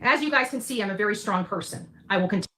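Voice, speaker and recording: A woman's voice: mid-range voice, animated, fast talker, fairly bright tone. A woman giving an emphatic, declarative livestream monologue, repeating phrases for weight. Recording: noisy replayed livestream audio.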